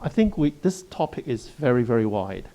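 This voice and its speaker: A man's voice: medium pitch, very animated, moderate pace, dark tone. A middle-aged man on a panel, a kindergarten educator explaining his points emphatically and listing them one by one. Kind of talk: panel discussion. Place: Hong Kong.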